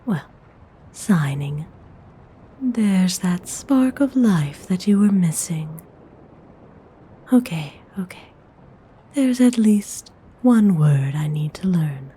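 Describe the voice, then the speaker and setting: A woman voicing a gentle, courteous vampire noblewoman in an ASMR roleplay, whispering with breathy, husky, tender formality. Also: fairly low pitch, lively intonation, exaggerated voice. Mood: warm, attentive. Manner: slow, hushed, tenderly formal.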